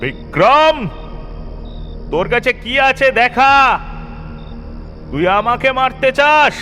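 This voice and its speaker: A man as high, strained, cornered middle-aged boss. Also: big pitch swings, slow pace, bright, forceful tone, soft background music, heavily acted. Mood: alarmed, accusing. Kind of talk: melodramatic audio thriller acting. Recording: long hall reverb.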